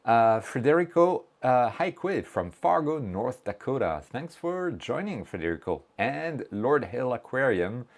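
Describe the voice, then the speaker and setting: A man's voice: mid-range voice, sing-song, measured pace, hardly any pauses. A man hosting a casual livestream, reading viewer messages aloud, joking about himself and explaining technical steps plainly. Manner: chatty, reads chat messages aloud, self-joking. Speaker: livestream host.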